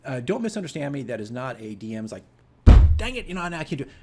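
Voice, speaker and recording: A man's voice: mid-range voice, very animated, fast, very noisy. A man hosting a casual video diary as a game master, explaining things conversationally and emphatically, often checking in with the listener.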